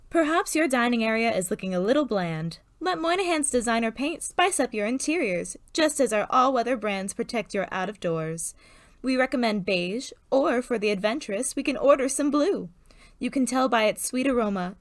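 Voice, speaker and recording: A chipper female sponsor's announcer acted by a woman in an old-time radio ad parody. Her voice sits fairly high with a dry sound, and she is polished, wholesome and sweetly persuasive. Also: no room sound. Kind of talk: parody 1940s radio advertisement.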